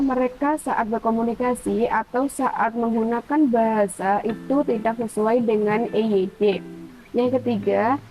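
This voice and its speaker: A woman's voice: fairly high pitch, rough recording. Young Javanese woman reading her class presentation. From Purbalingga, Central Java. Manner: steady, list-like recitation. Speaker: university student presenter.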